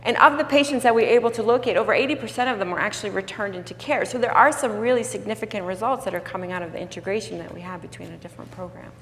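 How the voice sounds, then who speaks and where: A woman's voice: mid-range voice, racing, few pauses, fairly bright tone. A woman on a conference panel, answering thoughtfully with many fillers and restarts. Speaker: panelist from a development organization. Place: conference room. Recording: hall reverb with faint hum.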